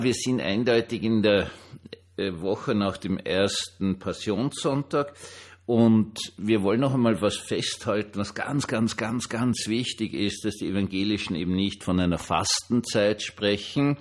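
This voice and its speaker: An older man with a Viennese Austrian accent, speaking as a pastor in an informal, hesitant but emphatic personal monologue. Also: on the low side. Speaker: pastor and online diary host.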